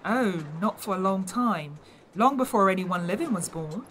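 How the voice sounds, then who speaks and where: A woman's voice: medium pitch, animated, normal speed, slight background noise, close to an everyday voice. An actress playing a devout, skeptical older mother with folksy, rustic phrasing in a fantasy audio drama. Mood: matter-of-fact.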